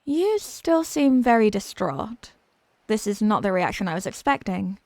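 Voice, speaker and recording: A woman's voice: medium pitch, sing-song, measured pace, a little mellow, no echo. A woman playing an obsessive, haughty young mermaid princess in a roleplay ASMR drama, sweetly smug and condescending. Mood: smugly reassuring.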